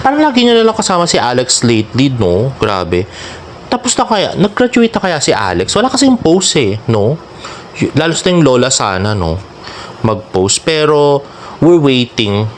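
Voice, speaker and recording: Male Filipino fan-vlog host, mid-pitched and very lively, chatty gossip delivery with a teasing streak. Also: moderate pace, slight background noise. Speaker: celebrity fan vlogger and host. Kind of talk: casual showbiz gossip commentary in Taglish.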